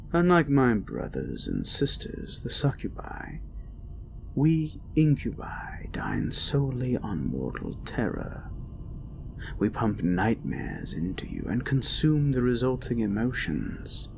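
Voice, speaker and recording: Male incubus demon, cruel, predatory, mocking, widely swooping pitch, gravelly fry, breathy whispers, dark audio roleplay. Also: a little hiss or hum, heavily acted. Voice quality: creaky, breaking into breathy whispers. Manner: slow, menacing, taunting, drawn-out. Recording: ominous music behind the voice.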